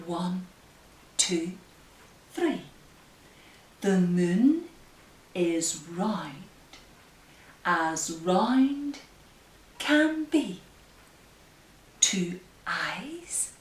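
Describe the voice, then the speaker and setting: A woman hosting story time for small children as a librarian, speaking gently, asking them questions and leading into simple rhymes. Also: on the low side. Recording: roomy echo, distant microphone.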